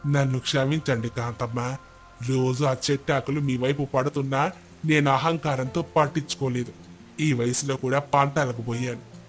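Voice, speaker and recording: A man's voice: medium pitch, steady tone, moderate pace, faint music behind, natural voice. A man playing a remorseful, humbled elderly village husband in a moral story, apologetic and earnest. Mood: ashamed. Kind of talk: moral storybook character dialogue.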